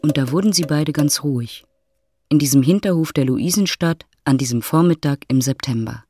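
An actor playing the detached storyteller of an urban love story, reading literary narration in a radio play. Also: male voice, a little high, moderate pace, warm tone, faint music behind, natural voice. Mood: calm, literary.

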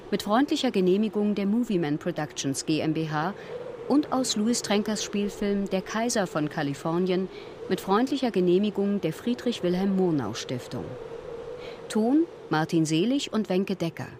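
A woman reading scripted documentary narration in a measured, factual manner as narrator and announcer. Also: mid-range voice, noisy background.

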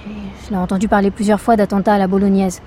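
Haughty, fussy female witness, played by an actress: condescending, prim, chatty, dark mellow timbre, outdoor traffic ambience behind. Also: mid-range voice, level tone, rapid-fire, lightly acted. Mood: complaining, indignant. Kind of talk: naturalistic French fiction podcast acting.

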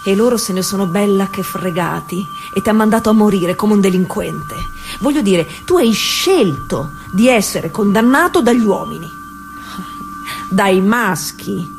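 A woman's voice: mid-range voice, expressive, vocal fry. An actress playing a defiant working-class woman cyclist confiding in Jesus in a colloquial theatre monologue. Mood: bitter, defiant. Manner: confiding, colloquial, with drawn-out pauses and hushed asides. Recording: music playing behind the voice.

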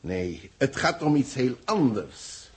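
An actor playing a grave, betrayed engineer who speaks with dramatic emphasis in a 1960s radio drama. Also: male voice, mid-range voice, big pitch swings, takes it slowly, husky, heavily acted. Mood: emphatic, revealing. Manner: emphatic, dramatic, repeating words. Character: grave, betrayed male engineer, a society chairman.

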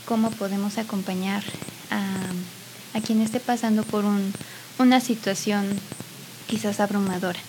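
A woman with a medium-pitched voice talks as an expert guest on a show. She is polite and a little tentative in her conversational delivery, with light hiss behind her. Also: fairly even intonation, unhurried, darkish tone, low-quality recording. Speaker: psychologist guest. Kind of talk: hesitant conversational guest remarks.

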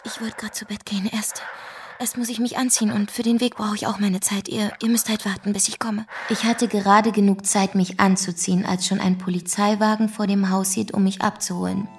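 An actress playing a composed, formal woman who gives a measured, matter-of-fact witness account in a radio play. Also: medium pitch, level tone, close to an everyday voice. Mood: hesitant, hushed. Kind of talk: radio play narration, scripted. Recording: music behind the voice.

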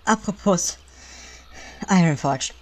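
A woman's voice: lowish voice, takes it slowly. A woman voicing a puny male gnome advisor in a comic audio play, fawning, whiny and pompously hesitant.

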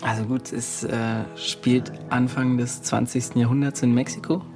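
A man's voice: mid-range voice, level tone, close to an everyday voice. An actor playing a hesitant, bookish young man in a naturalistic radio drama. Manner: hesitant, halting. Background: guitar music.

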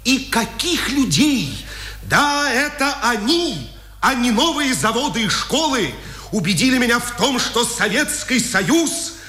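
An impassioned journalist orating in an old radio drama. His very high voice moves slowly and declaims with pauses, echoing over music. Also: sing-song, sharp tone, heavily acted. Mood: earnest, stirring. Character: impassioned, earnest male journalist giving public speeches. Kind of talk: 1950s radio drama oratory. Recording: echoey old radio hall recording.

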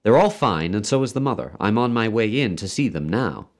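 A man's voice: on the low side, expressive, a little fast, pitched above the performer's own voice. A man voicing a very old, pompous male doctor who lectures with grand emphasis, in a story reading. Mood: cheerful. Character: pompous, preachy, very old male doctor championing population control.

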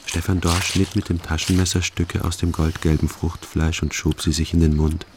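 A man as a brooding, very deep-voiced narrator. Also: flat delivery, noisy background, natural voice. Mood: detached, observing. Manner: sober, introspective, deliberate. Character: brooding, detached first-person male narrator, coldly ruminating. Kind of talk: scripted first-person radio drama narration.

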